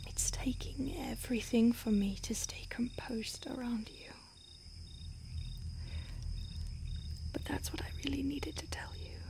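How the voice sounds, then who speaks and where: A woman voicing a starved, remorseful, centuries-old female vampire in a soft, breathy, halting whisper for an ASMR roleplay. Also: on the high side. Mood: anguished, apologetic. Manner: slow, intimate, halting.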